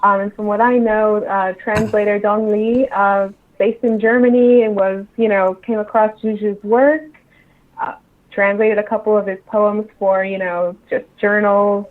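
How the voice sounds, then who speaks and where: A woman's voice: medium pitch, little pitch movement, a little slow. Woman guest on a dull call line. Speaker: interview guest, associate editor at a publisher. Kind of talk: casual interview answers. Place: remote call. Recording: muffled, band-limited remote call line.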